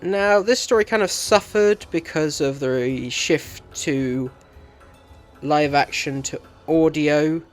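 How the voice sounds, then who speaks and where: Male video reviewer, slightly high voice, scripted commentary, faint music behind. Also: slow pace.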